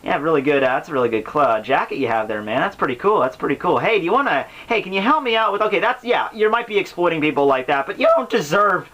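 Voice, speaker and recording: A man's voice: fairly high pitch, expressive, quick pace, hardly any pauses, a bit bright, lo-fi sound. A man giving casual, rambling commentary on a classic book as host, informal and slangy, with profanity and joking asides.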